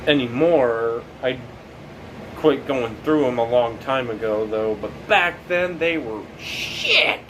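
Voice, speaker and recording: A young man in his late teens talking casually about his life, rambling and halting, with many fillers and restarts. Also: medium pitch, fairly bright tone, a little hiss or hum, low-quality recording. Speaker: documentary subject. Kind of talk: casual reflective monologue.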